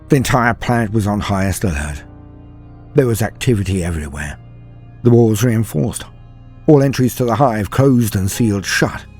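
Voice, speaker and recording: A man's voice: lowish voice, darkish tone, breathy edge. A man playing a haunted, fearful, weary soldier, telling a scripted war horror story with grave, theatrical delivery. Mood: grim, tense.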